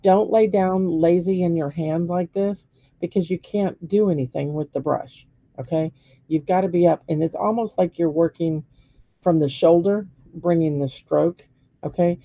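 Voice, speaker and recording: Hoarse woman teaching painting strokes, gravelly. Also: on the low side, moderate pace, no room sound, muffled recording. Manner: patient step-by-step instruction with frequent "okay?" checks. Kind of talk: live-streamed painting demonstration.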